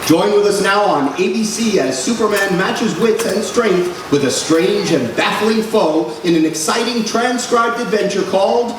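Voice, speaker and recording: A man's voice: high voice, expressive, measured pace, hardly any pauses, distant mic. A man acting as the dramatic, suspenseful narrator of a superhero radio serial in 1940s style. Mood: grand, rousing. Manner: theatrical, suspenseful, declamatory. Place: large room, live radio show recreation. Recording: roomy, noisy live stage recording from afar.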